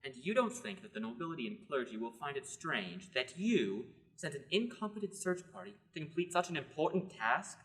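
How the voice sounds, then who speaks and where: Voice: mid-range voice, very animated, a little fast, hardly any pauses, fairly bright tone, low-quality recording. A teenage actor playing the king's nervous, sardonic young nephew. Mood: incredulous. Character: anxious sardonic young male nephew of the king. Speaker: student actor in a radio play. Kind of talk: amateur radio play acting.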